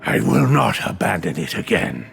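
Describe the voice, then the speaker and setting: An actor playing a weary, brooding ghostly guardian in a fantasy game, halting and theatrical. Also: male voice, lowish voice, very animated, vocal fry, fairly bright tone, faint music behind, full character voice. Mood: grim warning. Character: weary, mournful male ghost guardian bound to his post.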